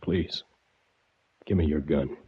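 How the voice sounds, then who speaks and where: An American actor playing a steady, compassionate small-town filling station attendant in a 1940s radio drama, plain and earnest as he reasons. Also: a bass voice, takes it slowly, breathy edge, full character voice. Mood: pleading, gentle. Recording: dull, dry vintage radio recording.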